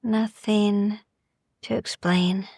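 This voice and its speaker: Very slow, hushed breathy woman, near whisper. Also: medium pitch, fairly even intonation, dry recording, studio quality. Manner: slow, gentle, soothing, with long pauses. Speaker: sleep meditation podcast host. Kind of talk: soft ASMR-style guided sleep meditation.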